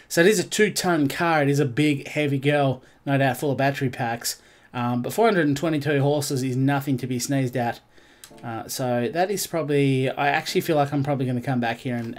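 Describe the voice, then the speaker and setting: Chatty congested man streaming. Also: medium pitch. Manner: chatty, rambling, greets chat viewers. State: congested, nasal. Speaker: game streamer. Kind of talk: casual live-stream commentary.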